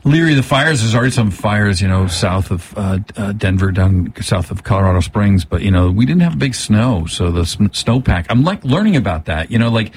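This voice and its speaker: A man's voice: fairly low pitch, lively intonation, brisk, few pauses. A man from Hawaii chatting casually as a guest musician on a radio show, rambling in a laid-back, hippie way. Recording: clearly noisy background.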